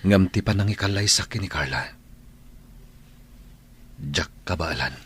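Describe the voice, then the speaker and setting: Deep-voiced earnest young man. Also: unhurried, a bit bright, husky, full character voice. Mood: troubled, apologetic. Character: earnest, troubled young working man in love, resigning. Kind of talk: Ilocano radio drama acting.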